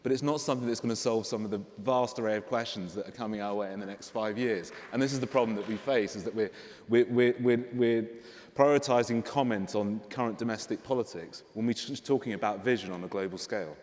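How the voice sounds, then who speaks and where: A man with a low voice speaks very fast on a panel, pushing in to make his point. His voice rings out in a large hall with long reverb. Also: darkish tone.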